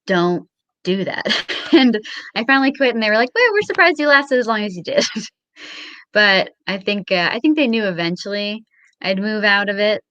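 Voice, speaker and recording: A woman's voice: medium pitch, animated, normal speed, pushed and bright, no echo. A young woman chatting casually as an interview guest, with a modest, somewhat hesitant way of talking. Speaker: guest on a show.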